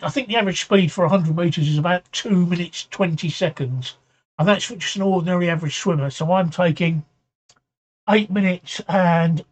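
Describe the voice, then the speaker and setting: A man hosting a casual livestream chat, his voice going hoarse, speaking haltingly, repeating words and often clearing his throat. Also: sits high. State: voice getting hoarse. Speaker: livestream talk show host. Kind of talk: casual livestream chat answering viewer comments.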